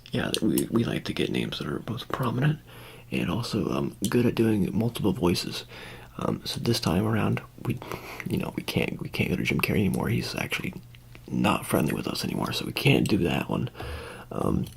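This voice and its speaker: A man doing an improvised ASMR roleplay in whispers and soft speech, rambling and hesitant with a deadpan delivery, close to the microphone. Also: on the low side, moderate pace, vocal fry, slightly rough.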